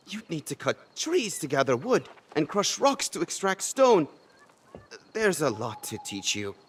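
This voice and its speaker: An earnest, courteous townsman handing out quests in a fantasy game. His pitch moves a lot while his pace stays slow and deliberate, with faint outdoor ambience behind. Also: a little hiss or hum. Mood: delighted. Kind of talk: video game character acting.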